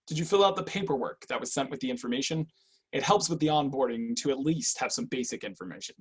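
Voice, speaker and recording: An actor playing a guarded, clinical agent with a cool, probing, businesslike manner in an online screenplay reading. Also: male voice, medium pitch, fast, slightly rough, lightly acted. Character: guarded, clinical intake agent, weary but obedient man. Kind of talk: online screenplay table read.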